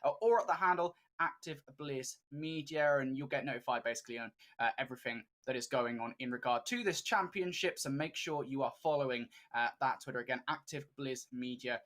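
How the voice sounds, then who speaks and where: A man's voice: medium pitch, brisk, few pauses, a bit bright. A man casting an esports tournament in a chatty, upbeat way, with many fillers. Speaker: esports caster. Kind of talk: esports commentary.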